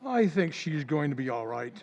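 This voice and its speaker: Radio play: man voicing a careful, hesitant doctor, lively pitch. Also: moderate pace. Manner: hesitant, gentle, with small fillers. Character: calm, hesitant family doctor, adult man. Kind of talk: old-time radio drama recreation.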